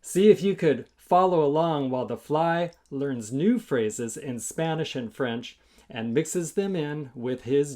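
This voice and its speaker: A male children's storyteller with a very lively, swinging pitch. He takes his time, delivering lines theatrically and rhythmically as if leading kids in a chant. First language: English. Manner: theatrical, rhythmic, inviting audience participation. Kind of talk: animated children's storytime reading with scat chanting.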